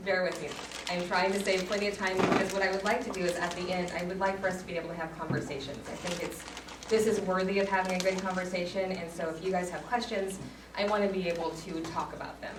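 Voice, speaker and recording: A woman with a loud, somewhat low voice speaks to an audience in a chatty, self-deprecating way. She sounds distant and echoey, with clear background noise in the room. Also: fairly even intonation, fast. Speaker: guest speaker, broadcaster. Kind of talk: public talk to an audience. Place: community meeting room. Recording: echoey room audio recorded from afar, with noise.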